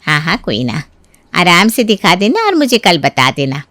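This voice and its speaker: A woman's voice: fairly low pitch, sing-song, normal speed, fairly bright tone, no room sound. A woman voicing a chatty, pushy older neighbourhood auntie who makes matches, in acted story dialogue. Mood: coaxing.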